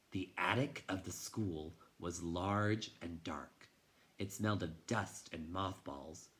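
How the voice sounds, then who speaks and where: A man reading a children's novel aloud in an expressive, dramatic way, moving between hushed and intense delivery. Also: sits low. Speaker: teacher reading a storybook aloud.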